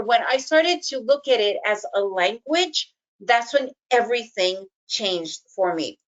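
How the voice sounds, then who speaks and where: A Russian-born woman teaches in an online presentation, fast and chatty, often tagging lines with "right?". Her voice sits a bit high. The sound is dull and lo-fi. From Soviet Union, raised in the United States. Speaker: trading educator presenting an online summit.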